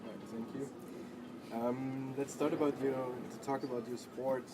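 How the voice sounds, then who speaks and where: A man's voice: mid-range voice, fairly even intonation, normal speed. A man informally moderating a live panel discussion, putting questions to the guests. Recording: very noisy event, vehicle sounds behind.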